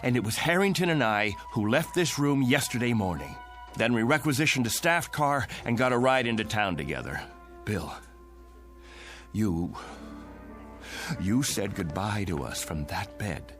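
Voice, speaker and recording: An actor playing an earnest, troubled Air Force colonel in a radio drama, confiding and emphatic. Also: male voice, lowish voice, expressive, unhurried, fairly bright tone, soft background music. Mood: urgent, pleading.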